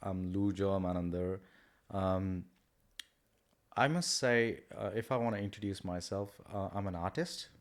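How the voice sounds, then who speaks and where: A man, a guest in a casual interview, talking in a hesitant, halting way with many pauses. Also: low voice. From Nepal. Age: 30s. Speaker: guest, artist and kids entertainer.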